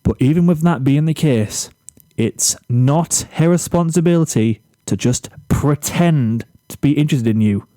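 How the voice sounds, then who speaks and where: A man reading a storybook character, an exasperated, blunt teenage boy who speaks firmly and deliberately. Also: fairly high pitch, mellow, dry recording, natural voice, low-quality recording. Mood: firm, insistent. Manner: firm, emphatic, deliberate.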